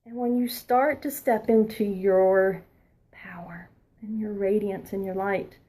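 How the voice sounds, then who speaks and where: A woman past her twenties giving a spiritual oracle card reading, earnest and encouraging, sometimes dropping into a soft whisper. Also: medium pitch, steady tone, a little slow. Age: adult past her twenties.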